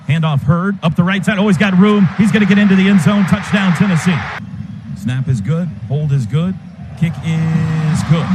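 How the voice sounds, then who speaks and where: A man doing excited radio play-by-play of a football game in clipped, rapid-fire calls. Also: on the high side. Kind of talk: live football commentary. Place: stadium radio booth. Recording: noisy stadium broadcast booth.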